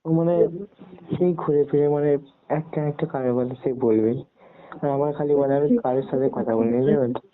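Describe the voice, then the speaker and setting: A young man, talking casually and haltingly on a private call, circling around his questions. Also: mid-range voice, steady tone. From West Bengal, India. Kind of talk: casual private phone conversation. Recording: narrow tinny phone line with noise.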